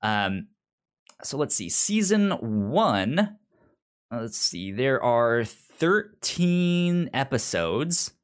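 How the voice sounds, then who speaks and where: A man's voice: medium pitch, big pitch swings, very slow, clean tone. A man hosting a podcast in a casual, chatty style, with a stutter that repeats sounds and syllables. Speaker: podcast host.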